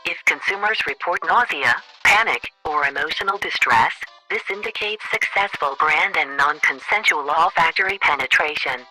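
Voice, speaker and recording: A man voicing an officious female government official leaving a formal, deadpan voicemail in a radio comedy sketch. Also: a little high, normal speed, hardly any pauses, soft background music, poor audio.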